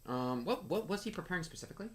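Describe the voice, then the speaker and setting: A man hosting a live game playthrough, excitable and given to repeating his phrases, bantering with chat and reading game text aloud. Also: high-pitched, rapid-fire, a little hiss or hum. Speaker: livestream host.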